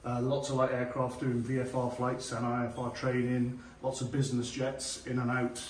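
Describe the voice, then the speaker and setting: A man's voice: mid-range voice, completely flat delivery, normal speed. A middle-aged man giving an informal guided tour, explaining things politely. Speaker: air traffic services manager, tour guide. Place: small office rooms and stairwells. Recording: roomy, distant mic, clearly noisy.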